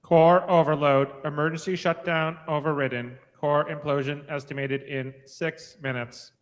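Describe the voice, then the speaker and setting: A man voicing an impassive starship computer in a radio play, giving measured emergency announcements in long hollow reverb. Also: a little high, steady tone, lightly acted. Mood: impassive alert. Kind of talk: live radio play read.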